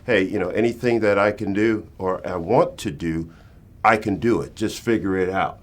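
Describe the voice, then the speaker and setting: A man's voice: low-pitched, moderate pace. A man on a talk show panel speaking personally and motivationally, using emphatic repetition.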